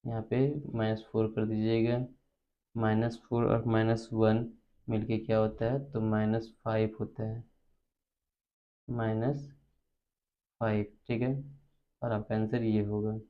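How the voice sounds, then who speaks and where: A man's voice: on the low side, completely flat delivery, a little slow, pauses often. A man with an Indian accent teaching maths step by step, instructional and repeating terms as he works. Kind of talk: step-by-step spoken lesson. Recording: dull lo-fi recording.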